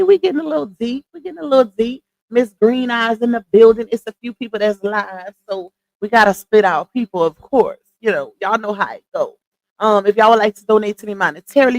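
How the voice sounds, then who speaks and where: A woman's voice: a little high, low-quality recording. Chatty woman greeting livestream viewers. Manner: chatty, greets viewers by name, calls them y'all. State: voice going hoarse. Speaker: livestream host. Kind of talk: casual livestream chat.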